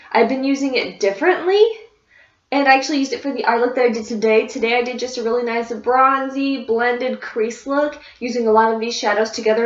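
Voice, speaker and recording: A woman talking casually about her favorite beauty products as a vlogger, a little congested and sniffly, rambling with fillers and restarts. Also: on the high side. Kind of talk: casual product review chat. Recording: echoey room, dull lo-fi sound.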